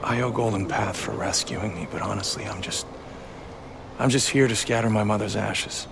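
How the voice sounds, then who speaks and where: An actor playing a reluctant young male hero in a video game cutscene, subdued and low-key. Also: fairly even intonation, normal speed, creaky, noisy background. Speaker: player character in a video game. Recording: loud music underneath.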